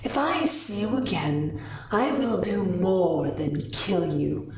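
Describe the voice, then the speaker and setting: Storybook fox-spirit woman, played by a female narrator: fierce, proud, very unhurried, heard in a roomy, distant recording. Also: fairly low pitch, animated, darkish tone. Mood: menacing. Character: fierce, menacing kitsune woman, proud and vengeful. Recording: roomy echo, distant mic, faint noise.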